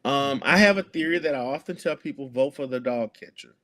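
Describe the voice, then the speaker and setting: A man's voice: medium pitch, a little fast, fairly bright tone. A man, speaking earnestly as an activist guest in an interview, with many fillers and restarts. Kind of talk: conversational interview.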